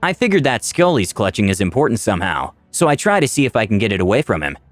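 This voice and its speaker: A male story narrator with a mid-pitched, highly animated voice. He delivers scripted first-person fantasy narration with drama. The voice is recorded dry, with background music clearly present. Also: fast talker, no echo.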